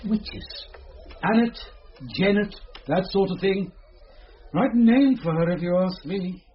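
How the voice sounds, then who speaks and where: A sardonic British schoolmaster with a high male voice. He grumbles in clipped, caustic asides, in a slightly dull radio drama recording. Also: takes it slowly, a little hiss or hum.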